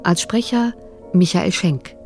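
A female radio announcer with a soft, dark tone reads credits slowly and formally. Her delivery is measured and scripted, over quiet music. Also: fairly low pitch, expressive.

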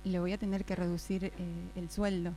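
A woman acting a stern, formal boss who speaks firmly and in measured phrases, in an improvised radio drama. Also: lowish voice, level tone, dark tone, voice pushed down, lightly acted. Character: stern, formal female boss scolding employee. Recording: faint mains hum.

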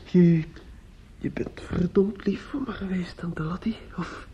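A man's voice: high-pitched, a little slow, mellow, breathy edge, full character voice. An actor playing a grief-stricken, restless young man in a radio play, stammering and repeating himself, often dropping to a whisper. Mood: distraught. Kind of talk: intimate radio play acting. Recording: muffled, noisy old radio drama recording.

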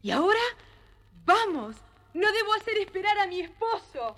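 A woman playing a sweet, humble, forgiving young heroine, speaking gently and politely in a theatrical fairy tale. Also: extremely high pitch, very animated, moderate pace, heavily acted. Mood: tender. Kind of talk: theatrical children's story record acting.

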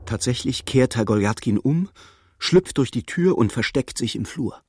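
An actor as the composed storyteller of a radio play, narrating in a measured, matter-of-fact literary style. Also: male voice, mid-range voice, lively intonation, a little mellow, husky, no room sound, studio quality. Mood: composed, observant. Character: composed male storyteller observing a hapless clerk's misadventures.

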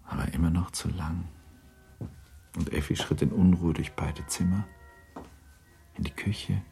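A man narrating a literary radio play in a gravelly voice, as a grave, measured, composed storyteller. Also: low voice, fairly even intonation, dark tone, faint music behind. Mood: grave, restrained. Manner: measured, sober.

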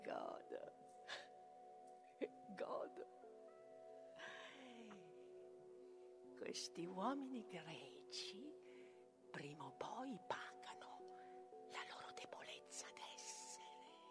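A woman playing a vengeful, malevolent sorceress mother in a theatrical tragic monologue, with a declamatory delivery that moves between fierce cries and breathy whispers. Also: medium pitch, very animated, slow, long pauses. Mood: malevolent, gloating. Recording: music behind the voice.

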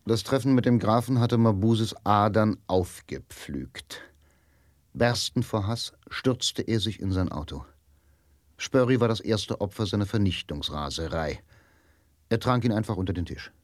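A man's voice: lowish voice, a little slow, dry recording, clean studio sound. A man narrating a radio drama in a dramatic, scripted style.

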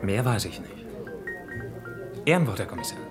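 A man's voice: lowish voice, big pitch swings, normal speed, music in the background. An actor playing an evasive barman turned informant in a radio drama, hesitant and placating toward authority. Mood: wary, placating. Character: evasive barman informant, deferential and self-protective toward police. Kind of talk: German radio drama acting.

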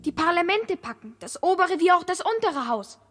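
Casting: pleading young daughter, piercingly high and sharp, urgent radio drama delivery. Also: female voice, big pitch swings, normal speed, faint music behind, heavily acted. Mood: urgent, insistent. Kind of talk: 1960s German radio play narration.

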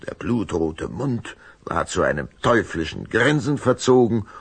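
A man narrating a children's audio story from a script in a dramatic, deliberate storytelling manner. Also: low-pitched, vocal fry, a bit bright, husky, no echo.

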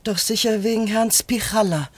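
A woman's voice: medium pitch, moderate pace, slightly rough, lightly acted. An actress playing a chatty, nosy grandmother in a radio crime drama, homely and rambling in manner. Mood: chatty, fretful. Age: older. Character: chatty, nosy older housewife neighbour, a grandmother.

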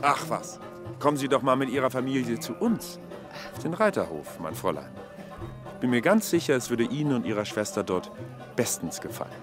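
An actor playing an affable, gallant horse breeder in a German radio drama, emphatic and inviting. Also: male voice, mid-range voice, big pitch swings, fairly quick, close to an everyday voice. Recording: studio radio drama, prominent music underneath.

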